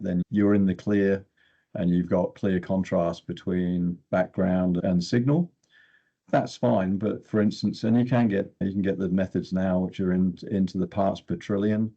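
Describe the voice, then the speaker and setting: Older man, deep mellow register, rough-edged tone, technical explainer, opinionated, muffled lo-fi recording. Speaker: guest geochemist. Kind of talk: technical interview talk. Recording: dull, lo-fi sound.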